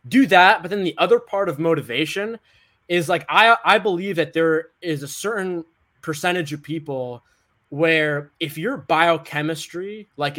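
A man's voice: fairly high pitch, fairly bright tone. A young American man, a guest author, talking casually and upbeat in an interview, peppering his sentences with "like". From United States.

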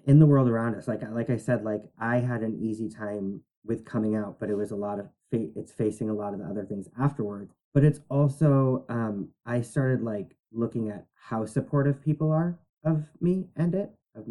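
A man co-hosting a podcast who talks in an animated, halting way with lots of fillers. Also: medium pitch, rough recording. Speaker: podcast co-host. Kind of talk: casual conversation.

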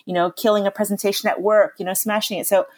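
A woman's voice: mid-range voice, level tone, fast talker. A woman in her thirties with a Canadian accent, a chef talking through her career in an upbeat, chatty way with many fillers. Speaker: chef and lecturer, podcast guest. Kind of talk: conversational podcast interview.

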